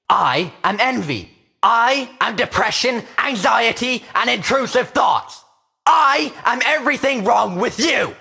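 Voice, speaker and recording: Evil shadow self demon, sharp and forceful, mocking, grandiose rhymed delivery, faint music behind. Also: male voice, mid-range voice, voice pushed down. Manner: taunting, menacing, grandiose. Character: malevolent inner demon, sinister taunting male shadow self. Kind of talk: theatrical rhythmic spoken and sung verse.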